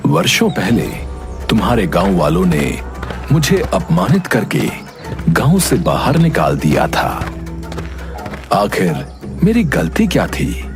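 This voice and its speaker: A man playing a vengeful, gloating sorcerer sadhu in a cartoon story, speaking in theatrical phrases with dramatic pauses. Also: on the low side, huge pitch swings, over music, heavily acted. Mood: bitter, vengeful.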